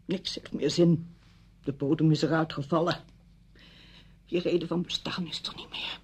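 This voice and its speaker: A grieving middle-aged widow in a radio play. Her voice is husky, and she speaks with weary, bitter sarcasm. She sounds proud and defensive. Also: low voice, steady tone, moderate pace. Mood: bitter grief. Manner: sardonic, weary. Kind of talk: Dutch radio play acting.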